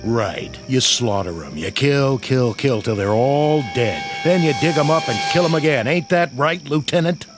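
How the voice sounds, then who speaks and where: An actor playing a hard-bitten, sarcastic army sergeant who needles people, in a radio drama. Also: male voice, medium pitch, animated, moderate pace, hardly any pauses, music in the background, natural voice. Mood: bitter sarcasm. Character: hard-bitten, sardonic army sergeant baiting his lieutenant.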